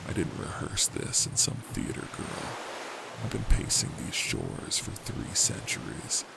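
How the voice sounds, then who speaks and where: An actor playing the bitter, sarcastic ghost of a forgotten pirate's apprentice. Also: male voice, low-pitched, big pitch swings, measured pace, creaky, heavy background noise, exaggerated voice. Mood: indignant. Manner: sarcastic, aggrieved. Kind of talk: audio drama acting.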